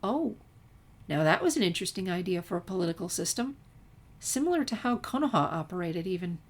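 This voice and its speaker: Lower-pitched woman reading a story. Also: very animated, brisk. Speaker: fan fiction reader. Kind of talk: scripted story narration.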